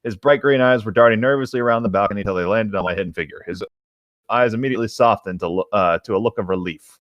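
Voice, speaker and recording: Male co-host, lower register, casual read-aloud and chat, very dry close sound. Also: fast talker, dry recording, highs cut off. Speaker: podcast co-host reading aloud. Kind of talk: casual group fan fiction read-aloud with banter.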